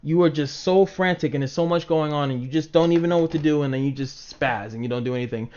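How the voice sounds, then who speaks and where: Male streamer, higher-pitched voice, loose conversational rambling to chat with casual swearing and acted-out reactions, dull lo-fi recording. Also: fast talker, darkish tone. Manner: informal, swearing, acts out imagined reactions. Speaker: livestream host talking to chat viewers. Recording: dull, lo-fi microphone sound.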